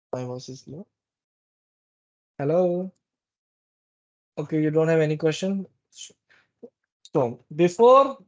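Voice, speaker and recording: Higher-pitched man leading software training, muffled sound. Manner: halting, instructive, repeats phrases. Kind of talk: live training walkthrough with screen demonstration. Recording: dull, muffled lo-fi meeting recording.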